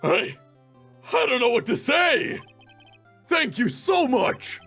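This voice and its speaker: A man voicing a sad, naive, soft-hearted actor in a video game, plaintive and hesitant. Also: very high voice, big pitch swings, slow, breathy edge, faint music behind, voice pushed up, exaggerated voice. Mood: tearful, plaintive.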